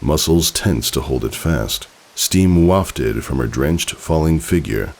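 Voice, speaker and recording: A man reading a story aloud as narrator, in an expressive, theatrical, dramatic style. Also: very deep voice, slow pace. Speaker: fan fiction narrator.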